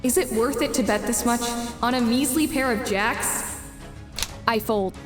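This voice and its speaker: Competitive, calculating teen girl for a webcomic dub, played by a woman: high, slow and deliberate, music underneath. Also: lightly acted. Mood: pensive, wary. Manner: deliberate, musing to self. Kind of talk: webcomic fan dub acting with inner monologue. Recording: roomy voice with music behind.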